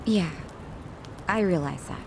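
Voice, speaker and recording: Low-voiced, level-headed young woman. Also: a little slow, vocal fry, noisy background. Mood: fond, wistful. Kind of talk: English video game cutscene acting. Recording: music behind the voice.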